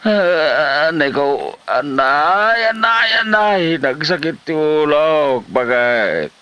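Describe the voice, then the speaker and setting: An actor playing a lazy, sly husband in an Ilocano comedy drama, with an Ilocano accent and exaggerated, whining excuses. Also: male voice, a little high, sing-song, slow, bright, forceful tone, smooth, highs cut off, exaggerated voice. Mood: feigned pain.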